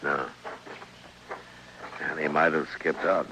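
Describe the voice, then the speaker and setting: An actor playing a stern, weary American frontier marshal in an old-time radio western, terse and firm. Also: male voice, a bass voice, little pitch movement, takes it slowly, background noise. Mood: grave, reluctant. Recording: old radio drama with faint music.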